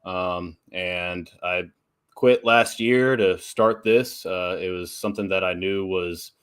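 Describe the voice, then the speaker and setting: Casting: man in his 30s, lowish voice, easygoing interview guest, hesitant fillers. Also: steady tone, slow, fairly bright tone, clear, resonant tone. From Texas and Oklahoma. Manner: many fillers and restarts, laughs easily. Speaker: guest, nonprofit founder and strength coach. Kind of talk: casual interview talk.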